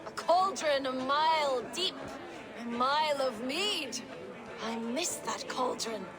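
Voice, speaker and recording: Slow-talking, hospitable Norse giantess. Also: female voice, high-pitched, lively intonation, crowd noise. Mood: welcoming. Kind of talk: video game cutscene dialogue. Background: music.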